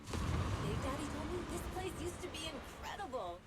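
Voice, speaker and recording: A woman's voice: high voice, expressive, normal speed, very noisy. An actress playing a brash, cocky young female biker in an anime-style video game, boisterous and teasing.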